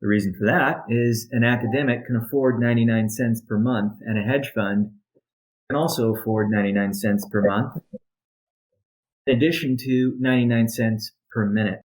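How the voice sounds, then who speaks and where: A man speaking as a company chief executive on a conference call, in measured, deliberate and halting phrases. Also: mid-range voice, fairly even intonation, rough recording. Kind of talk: business update and question-and-answer on a conference call.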